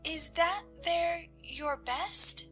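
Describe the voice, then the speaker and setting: A woman's voice: very high voice, drawn-out words, fairly bright tone, soft background music, pitched above the performer's own voice, heavily acted. A woman voicing a humble, frightened young scullery maid with a Cockney accent, timid and stammering, in a dramatized storybook. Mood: shyly curious.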